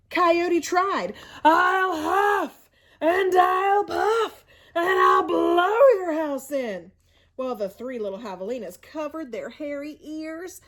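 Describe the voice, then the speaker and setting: A woman reading a storybook aloud to children in an expressive, teacherly way, pausing to explain and ask questions. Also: extremely high pitch. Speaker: school media coordinator reading to children.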